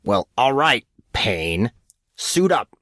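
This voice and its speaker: A man voicing a gruff, bossy, sarcastic football coach for an audiobook, barking out his words. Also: mid-range voice, sing-song, takes it slowly, fairly bright tone, no echo, studio quality. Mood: sizing up. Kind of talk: audiobook character acting.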